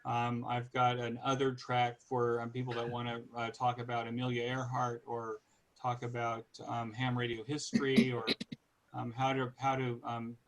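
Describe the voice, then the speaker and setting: A man over fifty with a North American accent, giving an informal explanatory presentation in a halting way, pausing to search for words. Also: mid-range voice, completely flat delivery, poor audio. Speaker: podcast host giving a club presentation.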